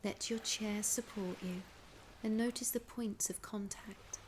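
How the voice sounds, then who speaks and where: A woman narrating a scripted guided imagery meditation in a soft, soothing, breathy voice, gently instructing with long pauses. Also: medium pitch, steady tone. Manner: unhurried, with long pauses between phrases. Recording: clear background ambience noise.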